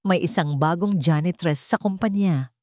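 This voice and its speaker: A woman's voice: on the low side, normal speed, a little mellow, no echo, clean studio sound, natural voice. A woman voicing a furious, wealthy old grandmother who gives scornful, commanding orders in a dramatic story. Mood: calm, menacing.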